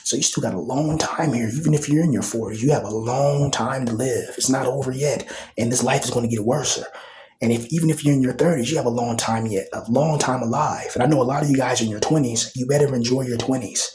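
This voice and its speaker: A man's voice: medium pitch, a little fast, a little mellow. A man in his thirties rambling casually in a vlog, full of slang, fillers and repeated phrases, sometimes dropping to a whisper. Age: 30s. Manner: loose slang-filled talk with many fillers and repetitions. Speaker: vlogger.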